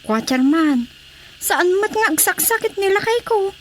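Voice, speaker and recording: Casting: an evasive, deferential village wife, distinctly high pitch, Ilocano accent, radio comedy drama. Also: female voice, animated, normal speed, a little hiss or hum. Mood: evasive, hesitant. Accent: Filipino, Ilocano.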